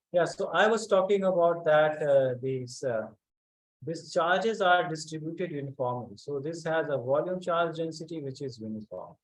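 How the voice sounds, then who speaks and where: A man leads an online physics lesson. His voice sits somewhat high, and he talks slowly and haltingly with many pauses. He often stops to check in with students by name. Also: low-quality recording. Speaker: physics teacher. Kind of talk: online class lecture.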